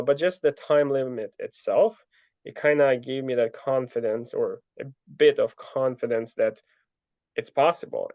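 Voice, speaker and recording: A young man telling his story in a relaxed interview, full of fillers and restarts. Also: mid-range voice, dry recording. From Ukraine. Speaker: guest, ultra trail runner. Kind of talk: casual interview answers. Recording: dull lo-fi dry sound.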